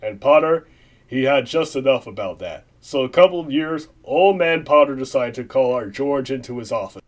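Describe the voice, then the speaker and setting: A man's voice: medium pitch, expressive, normal speed, lightly acted. A man playing a folksy, conversational narrator of a puppet holiday tale in a comic radio play. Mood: folksy storytelling.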